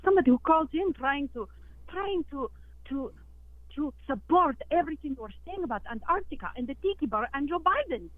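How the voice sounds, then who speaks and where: A woman's voice: mid-range voice, lively intonation, moderate pace, no room sound. A woman doing a touchy lizard lady over the phone. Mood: indignant. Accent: put-on Russian. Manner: broken English, earnest and touchy. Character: lizard woman posing as Russian caller, indignant. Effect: phone line. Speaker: caller. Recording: tinny phone line.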